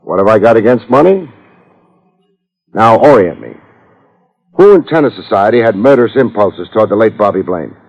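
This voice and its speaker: An actor playing a wry, tough private detective in a 1950s radio drama, sardonic and slangy in both narration and dialogue. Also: male voice, lowish voice, lively intonation, moderate pace, long pauses, a little mellow. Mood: wry, needling. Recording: muffled old radio recording with music.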